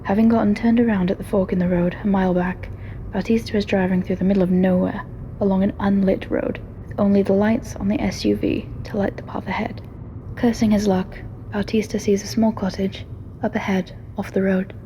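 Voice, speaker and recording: Lady narrator reading a script, soft dark tone, pretty even, bit of noise behind her. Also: medium pitch, fairly quick, lo-fi sound.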